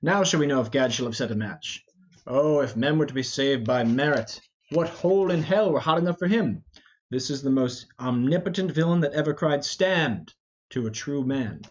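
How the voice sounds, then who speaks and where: A man's voice: medium pitch, normal speed, voice pushed down, close to an everyday voice. A man voicing a blustering, roguish old knight in an amateur Shakespeare reading, full of mock-indignant rhetoric. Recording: dull, lo-fi.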